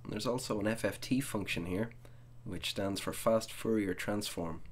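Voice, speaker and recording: A man narrating a software tutorial as the instructor, explaining each step methodically. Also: fairly low pitch, normal speed, a little hiss or hum.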